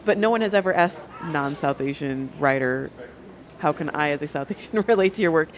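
A woman's voice: sits low, animated, fairly quick, a faint crowd. A woman hosting a show, interviewing a writer and framing long, articulate questions. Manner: articulate, lays out questions at length.

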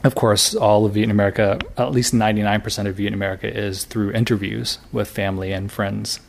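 A man's voice: on the low side, a little fast, gravelly, a little hiss or hum. A man in his thirties from the United States, an author talking casually in an interview with fillers and restarts. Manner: relaxed, chatty, many fillers and restarts. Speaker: interviewee, graphic novelist.

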